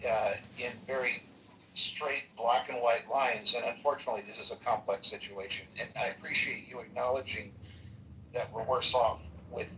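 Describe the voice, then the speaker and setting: A man debating politely in a political call-in discussion, hedging his points and measured. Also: medium pitch, fairly even intonation, bright, forceful tone, echoey room, recorded from a distance, a little hiss or hum, rough recording.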